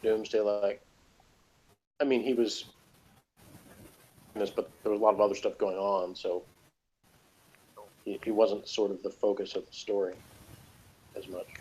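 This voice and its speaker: A man's voice: fairly low pitch, little pitch movement, a little slow, pauses often, mellow, slightly rough, rough recording. Guy just chatting about a tv episode, opinionated, kinda wry, offhand review style. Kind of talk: casual chat, TV episode review.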